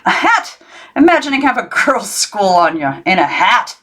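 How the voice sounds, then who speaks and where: A woman's voice: medium pitch, sing-song, moderate pace, a bit bright. A woman reading the part of a playful, teasing older great-uncle in a children's storybook, exclamatory and formally polite. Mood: playful exclaiming.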